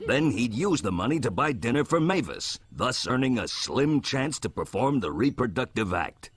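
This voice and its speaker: A man's voice: mid-range voice, very animated, normal speed, slightly rough. A man giving wry, mock-serious scripted narration in a clip from a film.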